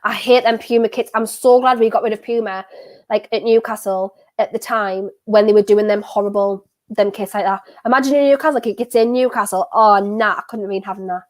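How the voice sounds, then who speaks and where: A woman's voice: mid-range voice, fairly quick. Anxious Durham woman reacting to football. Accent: County Durham, softened. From North East England. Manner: anxious, excitable, rambling and self-repeating. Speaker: football watchalong streamer. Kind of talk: live match reaction chat.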